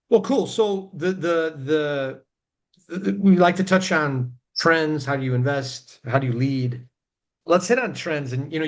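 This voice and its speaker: Middle-aged man hosting a podcast. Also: mid-range voice. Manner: rambling, filler-heavy, affirming with repeated yeahs. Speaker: podcast host. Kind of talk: casual conversational interviewing.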